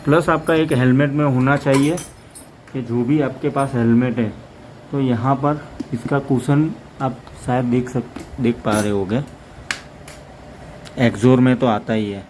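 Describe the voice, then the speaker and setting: A man talks through a gadget demo in a flat, even tone, rambling from point to point. His voice is mid-pitched and sits over faint background ambience. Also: a little slow, a little hiss or hum, rough recording. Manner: rambling, repeats "plus" between points. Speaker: motorcycle vlogger. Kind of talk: casual product demo.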